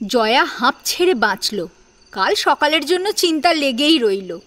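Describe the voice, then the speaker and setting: Bright, high-voiced woman storyteller. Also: sing-song, measured pace. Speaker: story narrator. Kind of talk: dramatised story narration.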